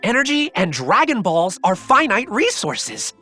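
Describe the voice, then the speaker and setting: A woman's voice: mid-range voice, very animated, unhurried, fairly bright tone. A woman playing a cynical, sly scientist in an anime video game, speaking in a wry, condescending way. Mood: bored, wry. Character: cynical female mad scientist, sly and weary. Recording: music behind the voice.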